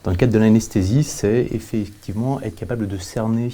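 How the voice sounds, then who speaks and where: A man giving explanatory answers as an interview guest, with halting repetitions and illustrative examples. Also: lowish voice, mellow. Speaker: company representative, panel guest.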